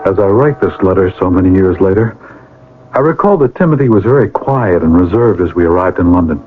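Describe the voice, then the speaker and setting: Earnest older brother, tinny radio sound. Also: male voice, low-pitched, lively intonation, brisk, faint music behind. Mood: earnest, confessional. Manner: earnest, theatrical, formal. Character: earnest, righteous older brother, a pleading moralist, narrating his past. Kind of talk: old-time radio drama acting and narration. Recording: noisy, tinny vintage radio recording.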